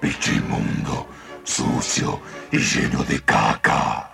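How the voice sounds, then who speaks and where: A man voicing a bossy, angry cartoon animal in a homemade radio play, gravelly, commanding and scornful. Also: rumbling low, flat delivery, slow, exaggerated voice. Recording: homemade cassette radio play, loud music.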